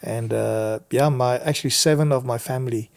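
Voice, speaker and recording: Middle-aged male interview guest, South African accent, gravelly fry in the voice, earnest and reflective personal storytelling. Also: medium pitch, normal speed. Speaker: guest missionary and visiting preacher.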